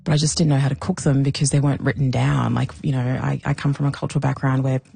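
A woman's voice: extremely low pitch, little pitch movement, quick pace, gravelly, warm tone, breathy edge. A woman from Zambia, a documentary maker and historian, answering interview questions in a conversational way.

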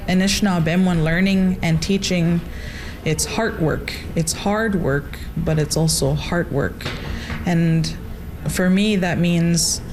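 Slow, earnest young woman teacher. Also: on the low side, noisy background. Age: young adult. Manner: reflective, earnest. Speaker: language teacher.

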